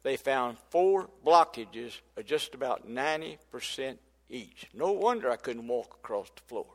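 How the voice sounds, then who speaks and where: A man's voice: mid-range voice, animated, measured pace, long reverb tail. An older man, preaching a sermon in folksy storytelling style that builds to emphatic preaching. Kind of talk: sermon testimony.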